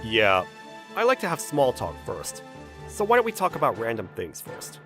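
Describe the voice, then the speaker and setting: A man playing a level-headed, gently teasing young man in a manga dub, narrating and talking in a dry, blunt manner. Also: mid-range voice, wildly swooping pitch, normal speed, over music. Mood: calm, probing.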